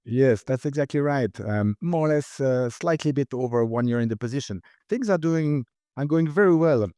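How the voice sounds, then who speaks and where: A man's voice: mid-range voice, lively intonation, normal speed, mellow, smooth, no room sound, clean studio sound. A middle-aged man with a Swiss accent, speaking as a finance executive guest in a business interview, hesitant with many fillers and restarts. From Switzerland. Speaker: company CFO, guest.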